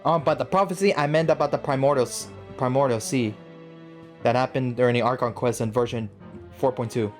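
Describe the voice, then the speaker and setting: A woman's voice: a bass voice, fairly even intonation, brisk. A woman hosting a gaming video, giving casual, slangy, exclamatory reaction commentary. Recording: game music behind voice. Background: faint game music.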